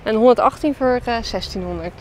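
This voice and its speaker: Young woman, playful passenger banter, clear in-car road noise. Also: a little high, brisk, rough recording. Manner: teasing, playful. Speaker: passenger, partner. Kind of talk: casual chat. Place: inside a car, passenger seat. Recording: car noise behind, from the side.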